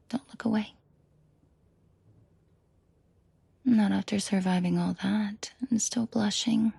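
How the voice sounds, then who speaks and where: Woman acting as a female mafia boss, very husky and breathy, heavy vocal fry, commanding, possessive, intimate audio roleplay. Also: medium pitch, warm tone, dry recording, full character voice. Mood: teasing, seductive. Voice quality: breathy, husky, often half-whispered. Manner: slow, deliberate, controlled murmur addressed closely to listener.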